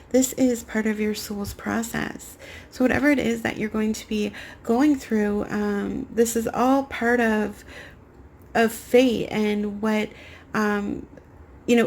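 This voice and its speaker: A young woman giving a rambling spiritual tarot reading to camera, her voice raspy as she gets over a cold. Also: mid-range voice, a little hiss or hum. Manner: soft, meandering spiritual talk with many fillers. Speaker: tarot card reader.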